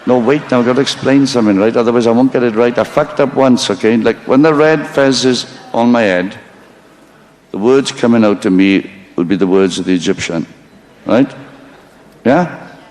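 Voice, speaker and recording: A man's voice: mid-range voice, moderate pace, a little mellow, poor audio. A man giving a rambling live stage monologue, swearing freely, mocking himself and stopping to apologize for his slip-ups. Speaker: stand-up storyteller.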